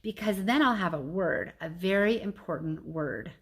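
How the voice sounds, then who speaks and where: A woman's voice: on the low side, normal speed, a bit bright, slightly rough. A woman voicing a thoughtful, earnest male gorilla in a storybook, with a patient way of talking. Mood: hopeful.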